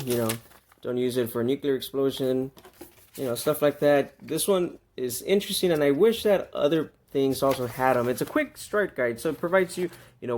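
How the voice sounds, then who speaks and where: A man's voice: medium pitch, slight background noise. Casual man reviewing a product. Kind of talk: casual unboxing review commentary.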